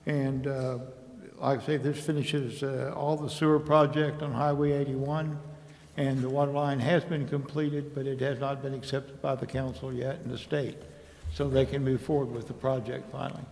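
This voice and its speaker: A man's voice: medium pitch, level tone, moderate pace, creaky, darkish tone. An American man giving an informal explanatory report as an official in an echoing meeting chamber. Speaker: project official reporting to council. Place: indoor council chamber.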